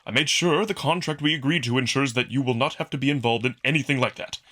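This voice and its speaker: A man voicing a proud, earnest wolf alien in a visual novel drama, formal and emphatic, quick to defend himself. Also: medium pitch, pushed and bright. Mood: earnest, reassuring.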